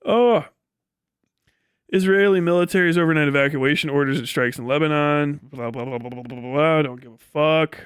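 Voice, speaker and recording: A man's voice: fairly high pitch, normal speed, clean tone, dry recording. Male streamer, clean resonant voice in a very dry space, riffing on politics with blunt, dismissive, profane sarcasm. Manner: sardonic. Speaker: live streamer. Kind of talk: off-the-cuff political commentary on a livestream.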